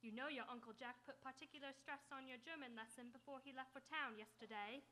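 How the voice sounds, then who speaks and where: A woman's voice: high-pitched, steady tone, fairly quick, bright, forceful tone, slight background noise. A woman acting in live radio theatre as a prim, fussy, schoolmarmish Victorian governess. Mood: nagging.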